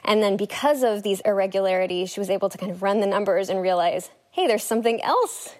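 A woman's voice: medium pitch, animated, fast talker. A woman journalist giving a casual interview, chatty and enthusiastic, with some fillers. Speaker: journalist and author, interview guest.

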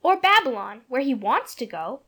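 A man voicing an eager, soft-hearted little girl in a storybook reading, childlike and pleading. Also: very high voice, very animated, moderate pace, pitched above the performer's own voice, exaggerated voice.

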